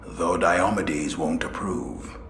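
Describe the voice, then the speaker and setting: A man playing a grave, knowing space marine advisor in a video game, solemn and measured. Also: low-pitched, fairly even intonation, fairly bright tone. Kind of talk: dramatic video game cutscene acting. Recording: echoey, faint background noise.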